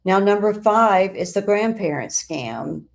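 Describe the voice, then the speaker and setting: A woman hosting a scripted explainer, speaking in deliberate, emphatic phrases with pauses. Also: mid-range voice, expressive, gravelly. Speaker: host of a crime statistics show.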